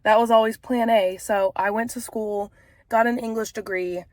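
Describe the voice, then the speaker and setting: A woman's voice: on the high side, fairly even intonation, normal speed, a little mellow, no room sound, clean studio sound. A woman in her thirties with a stuffy, congested voice, talking frankly and casually to camera with frequent fillers. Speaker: vlogger and author.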